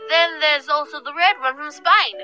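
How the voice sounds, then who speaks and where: A woman's voice: high-pitched, normal speed, music in the background, natural voice. A woman playing a folksy, gossipy young maid in a visual novel, with an exclaiming delivery. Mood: helpful. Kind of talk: visual novel character acting.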